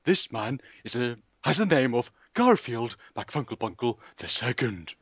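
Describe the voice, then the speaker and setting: A husky, mock-serious documentary presenter, played by a man for a comedy sketch. He is pompous, deadpan and absent-minded. The sound is dry and slightly muffled. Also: medium pitch, moderate pace, no room sound, heavily acted. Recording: dull, lo-fi voice recording.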